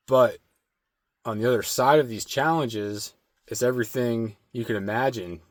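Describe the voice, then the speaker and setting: A man giving a slow, meditative spirit card reading with a strained, slightly hoarse throat and many filler words. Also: medium pitch, no room sound. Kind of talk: intuitive oracle card reading.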